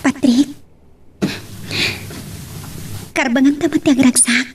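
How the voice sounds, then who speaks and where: A high, girlish-pitched young woman played by an actress speaks a little way from the mic. She is respectful and tender in a radio-style romantic drama. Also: fairly even intonation, brisk, a little hiss or hum, lightly acted. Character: apologetic young woman Jamila, respectful, tender toward her beloved. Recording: distant from the mic.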